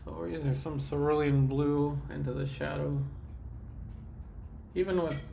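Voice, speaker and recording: Stuffy-nosed guy painting live, kinda high voice, bit of gravel, chill and chatty. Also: unhurried, a little hiss or hum. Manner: relaxed, chatty, answers viewer comments while working. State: congested from a sinus infection. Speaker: livestream art host. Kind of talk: live painting demo chat.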